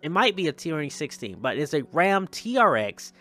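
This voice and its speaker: Man, bright punchy voice, casual gaming video host, loose rambling delivery, repeats phrases for emphasis, faint music behind. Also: on the high side, big pitch swings, moderate pace. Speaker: video game vlogger, host. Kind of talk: casual gameplay commentary.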